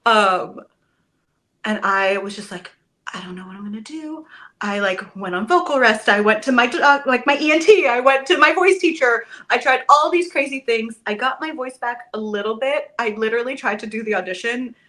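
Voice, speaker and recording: A woman, a Broadway actress, chatting as a podcast guest, telling stories with lively enthusiasm and the odd casual swear word. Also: fairly high pitch, moderate pace. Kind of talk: casual podcast interview chat.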